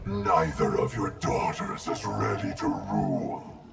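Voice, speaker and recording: An actor playing a menacing, arrogant undead wraith assassin in a video game, with a gravelly growl and a processed, ghostly sound. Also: male voice, low-pitched, very animated, slow pace, heavily acted. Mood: cold menace. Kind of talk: video game fight intro dialogue. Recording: distant-sounding game audio under loud music.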